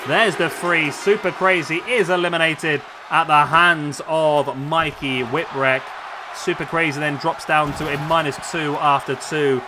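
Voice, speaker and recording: A man commentating on a wrestling video game, losing his voice, chatty and excitable as he calls the action. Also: a little high. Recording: loud game crowd noise behind. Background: video game crowd and arena sound.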